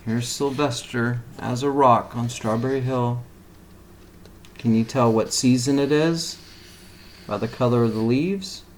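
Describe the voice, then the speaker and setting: A man reading a picture book aloud to young children as a teacher, pausing for simple explanatory asides. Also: medium pitch, a little hiss or hum.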